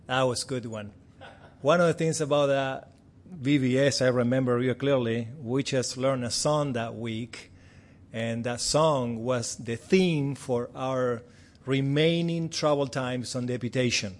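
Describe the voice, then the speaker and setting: A man's voice: medium pitch, a little slow. A man in his forties from Argentina with an Argentine Spanish accent, a native Spanish speaker giving a friendly, chatty missions report to a church with light self-deprecating humor.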